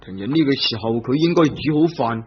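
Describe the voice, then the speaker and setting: An actor playing the husband's nervous cousin in a vintage radio drama, speaking in a hesitant, anxious way. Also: male voice, medium pitch, lively intonation, fairly quick, close to an everyday voice. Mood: coaxing. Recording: dull lo-fi old radio recording with faint hiss.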